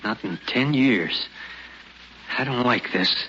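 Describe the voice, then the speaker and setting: An actor playing a gruff, wary prospector father with folksy rural speech in an old radio drama. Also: medium pitch, expressive, slow pace, bright, forceful tone. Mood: suspicious. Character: gruff, suspicious prospector father, rough frontier homesteader. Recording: muffled, noisy old radio recording.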